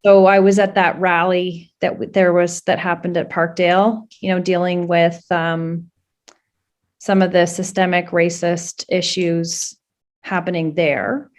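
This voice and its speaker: A woman's voice: on the low side, flat delivery, unhurried. A woman in her forties, a political candidate, answering interview questions in an earnest, conversational way with many fillers.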